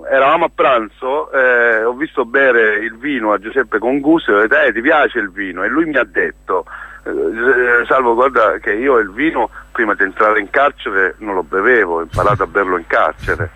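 A man speaking as a theatre guest in a radio interview, telling stories haltingly and repeating words emphatically as he searches for them. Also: mid-range voice, pushed and bright, breathy edge, dry recording. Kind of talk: spoken radio interview, storytelling.

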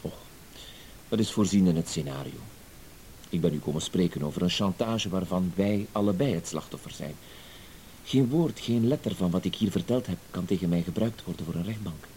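An actor playing a cool, self-assured professional blackmailer in a radio drama, terse and matter-of-fact. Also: male voice, sits low, moderate pace, dark tone. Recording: hissy old radio play recording.

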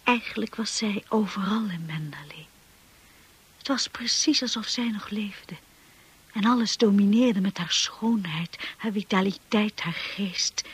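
A woman playing a timid, anxious young wife in a radio drama, hushed and hesitant, apologetic and eager to please. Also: medium pitch. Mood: brooding, hushed. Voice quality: breathy, hushed.

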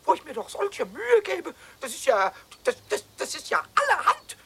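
A man playing a cheeky, childlike little kobold in a 1970s children's radio play, squeaky and excitable, stammering and rhyming. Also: normal speed, creaky, exaggerated voice. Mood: indignant. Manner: stammering repeats, rhyming, excitable muttering and shrieking.

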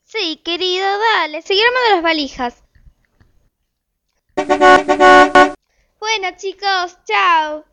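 Very high-voiced, bossy Argentine mother played by a woman. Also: measured pace, dull audio. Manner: exasperated, commanding. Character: bossy, harried housewife and mother nagging her family. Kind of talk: amateur radio drama acting.

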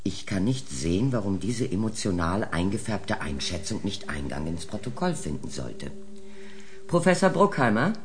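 A man's voice: fairly low pitch, big pitch swings, moderate pace, a little hiss or hum. An actor playing a composed, formal commission chairman in a science fiction radio play, firm and dryly courteous.

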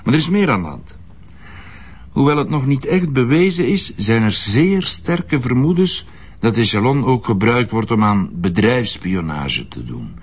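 A secretive Flemish intelligence colonel in a crime drama. He is smug and confiding, with a mid-pitched voice on a slightly dull recording. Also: big pitch swings, measured pace, husky. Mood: grave, smug.

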